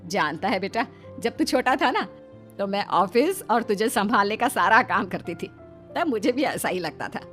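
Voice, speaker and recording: A woman's voice: mid-range voice, expressive, moderate pace, a bit bright, soft background music, close to an everyday voice. Woman voicing a fretful, affectionate older mother-in-law. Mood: soothing. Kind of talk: animated moral story dialogue.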